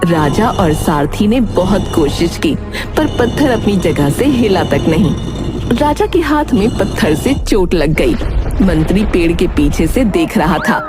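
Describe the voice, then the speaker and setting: A woman's voice: on the low side, lively intonation, unhurried. A woman reading scripted storybook narration for a cartoon tale. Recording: music behind the voice.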